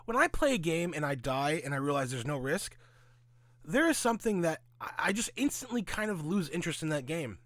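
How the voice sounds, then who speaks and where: A man's voice: on the high side, sing-song, fairly quick, a bit bright, no room sound, clean studio sound. A man giving casual, opinionated commentary in a blunt, sweary, sarcastic way, often asking and answering his own questions. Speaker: host of a gaming commentary audio log.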